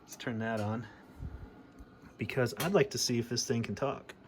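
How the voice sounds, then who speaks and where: A man's voice: mid-range voice, moderate pace. A man giving a casual hands-on demo and calling out as a CB radio hobbyist. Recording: clear background radio noise.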